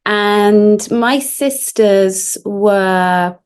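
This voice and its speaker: A woman's voice: medium pitch, drawn-out words, darkish tone, smooth. A woman hosting an interview podcast, welcoming her guest and sometimes searching for words. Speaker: podcast host.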